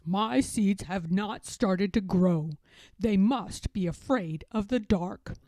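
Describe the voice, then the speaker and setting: A woman voicing an earnest, impatient, anxious male toad in a storybook, with emphatic, drawn-out delivery. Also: medium pitch, no room sound, voice pushed down. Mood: dismayed. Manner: emphatic, loud, drawn-out exclamations.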